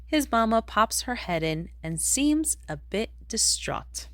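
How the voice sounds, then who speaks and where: A woman reading a rhyming children's picture book aloud in an expressive storytelling manner. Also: a little high, slow pace, dry recording, clean studio sound. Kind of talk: read-aloud storybook narration in rhyme.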